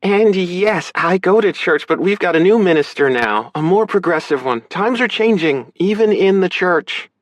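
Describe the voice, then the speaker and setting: A man's voice: high voice, expressive, normal speed, hardly any pauses, no echo, voice pushed up. A man voicing a caring, frank adult big sister in an audiobook, speaking with earnest, reassuring emotion. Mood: sharp. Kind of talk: audiobook character dialogue.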